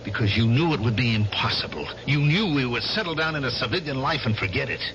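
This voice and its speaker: A man's voice: medium pitch, animated, fast, close to an everyday voice. An actor playing a determined male war veteran who presses for a confession, in 1970s radio drama style. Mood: accusing. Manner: insistent, interrogating. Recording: dull old radio broadcast with hiss.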